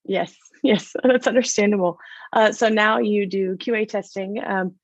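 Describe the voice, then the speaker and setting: A woman's voice: medium pitch, a little slow, no echo. A woman hosts an interview over a video call, sounding upbeat and encouraging as she asks her questions. Her voice is dry and close, with the dull, lo-fi tone of call audio. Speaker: host, interviewer.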